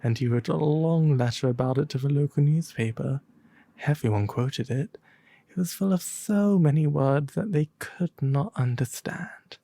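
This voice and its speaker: A performer voicing a refined owl demon prince, reading a storybook tale softly and gently in ASMR style. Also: mid-range voice, gravelly, no echo. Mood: gentle, soothing. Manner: slow, gentle, theatrical storytelling.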